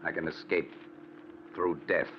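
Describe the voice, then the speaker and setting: A bitter, haunted husband in old-time radio style. His voice is deep and his delivery is dramatic and brooding. It comes through a muffled, noisy old recording. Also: level tone, a little slow.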